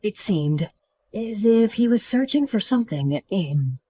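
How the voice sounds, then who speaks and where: A woman's voice: medium pitch, lively intonation, a little slow, warm tone, slightly rough, far from the mic. A woman reading scripted storybook narration.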